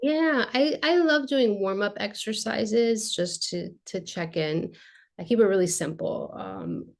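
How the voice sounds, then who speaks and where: A woman's voice: mid-range voice, very animated, a little slow, creaky. A woman art therapist being interviewed, explaining in a chatty, casual way with lots of filler words.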